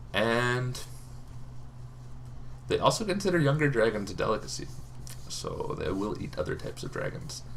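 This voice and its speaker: A man hosting a tabletop gaming channel, explaining casually off the cuff in an informal, halting way, as if thinking aloud. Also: medium pitch. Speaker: host of a tabletop roleplaying lore channel. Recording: noticeable background noise.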